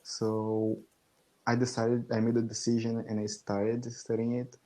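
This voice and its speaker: Halting young man learning English. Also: medium pitch, monotone, dark tone, low-quality recording. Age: 20s. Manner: slow and halting, restarts often, laughs between phrases. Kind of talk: casual video-call chat. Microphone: video call headset or laptop mic.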